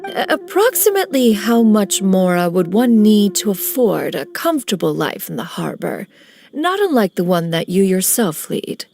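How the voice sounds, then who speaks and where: A woman's voice: medium pitch, very animated, normal speed, vocal fry, darkish tone, soft background music. An actress playing a dignified female immortal who speaks in a formal, archaic way. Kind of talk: video game voice acting.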